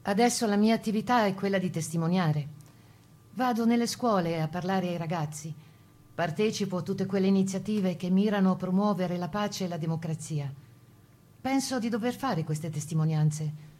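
A woman's voice: medium pitch, moderate pace, dark tone, natural voice. An actress voicing a resolute woman who fought as a partisan, giving earnest first-person testimony in a radio play. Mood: earnest, measured.

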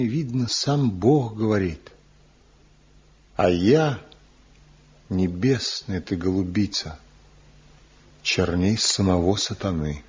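An actor playing a bitter, envious wandering craftsman in a theatrical radio drama, declaiming with impassioned, weighty pauses. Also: lowish voice, darkish tone, breathy edge, full character voice. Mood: tender, anguished. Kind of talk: theatrical Soviet radio play acting. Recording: muffled 1970 radio drama recording.

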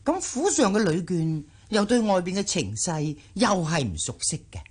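A man playing a callous, self-important, lecherous older uncle in a Cantonese radio drama, with a raspy, drawling delivery. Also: high voice, very animated, moderate pace, exaggerated voice. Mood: callous, conniving.

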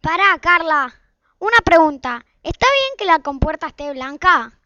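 A woman's voice: very high voice, sing-song, unhurried, bright, forceful tone, no room sound, heavily acted. A woman playing a schoolchild, the elder sibling in a family radio play. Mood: insistent. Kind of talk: amateur radio play acting. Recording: dull, dry, lo-fi recording.

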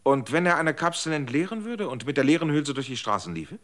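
An actor playing an anxious former rebel who pleads and asks searching questions in a radio drama. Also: male voice, on the high side, expressive, fairly quick, a bit bright.

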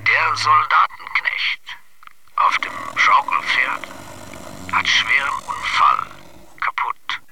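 A man's voice: mid-range voice, sing-song, slow, long pauses, distant mic, rough recording. An actor playing an absurdist man who declaims cryptic verse in fragments in a radio play. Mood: declamatory.

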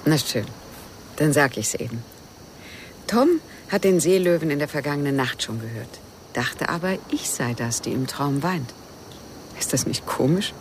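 An actress playing a guarded, wistful widow who speaks evasively and intimately in a German radio crime drama. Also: female voice, low-pitched, expressive, moderate pace, slight background noise. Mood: hesitant, confiding.

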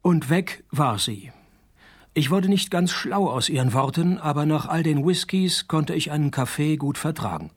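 A world-weary detective in a radio noir parody. His voice sits on the higher side and sounds rough and husky. He narrates dryly, with laconic, mocking understatement. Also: animated, moderate pace. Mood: deadpan, weary. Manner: dry, sardonic, laconic. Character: wry, world-weary, hard-drinking male private detective. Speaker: lead character and narrator. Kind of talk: deadpan hard-boiled detective parody narration.

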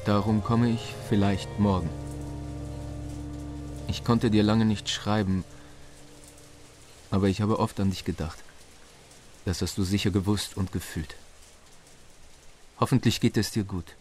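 An actor playing a slow, tender young man with a soft velvety voice, reading a love letter in a radio play. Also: fairly low pitch, steady tone, faint music behind, close to an everyday voice. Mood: tender, longing. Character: Franz, slow, tender young man writing to his sweetheart.

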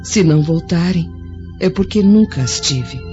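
Mellow, frail young Roman noblewoman. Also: on the low side, lively intonation, unhurried. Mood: gentle, resolute. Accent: Brazilian. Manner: tender, earnest, theatrical. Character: gentle, frail blind young noblewoman of ancient Rome. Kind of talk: radio drama acting. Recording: dull lo-fi audio with music.

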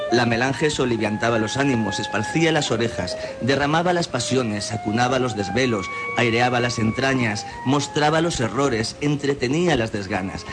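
An actor with a Castilian Spanish accent playing a morbidly defiant dying man in a solemn, florid, theatrical radio drama monologue. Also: mid-range voice. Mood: incantatory. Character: dying man, a self-styled monster, morbidly defiant, scripting his own funeral. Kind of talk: scripted literary radio monologue.

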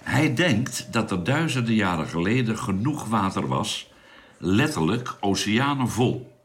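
A man acting a calm expedition doctor in a radio drama, speaking in a measured, unhurried way. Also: on the low side, slightly rough, heavily acted. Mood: thoughtful, interested. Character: calm male doctor, space expedition narrator and amateur archaeologist. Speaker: narrator and actor. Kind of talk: radio drama narration and dialogue.

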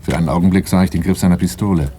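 Low, mellow-voiced wary man played by an actor. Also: rapid-fire, close to an everyday voice. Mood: reflective narrating. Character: wary, plain-spoken young man working as bodyguard. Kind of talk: German radio play acting with narration. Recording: faint noise, 1980s radio play.

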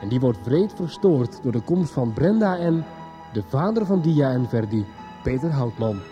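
A man's voice: medium pitch, animated, moderate pace, music in the background. A man narrating a scripted recap for a radio soap. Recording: dull, band-limited 1990s radio recording.

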